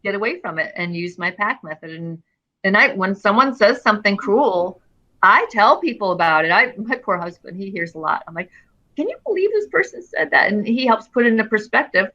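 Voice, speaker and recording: A middle-aged woman talking as an interview guest in an earnest, expressive way, often restarting her phrases. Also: mid-range voice, a little fast, dull audio. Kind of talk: casual interview conversation.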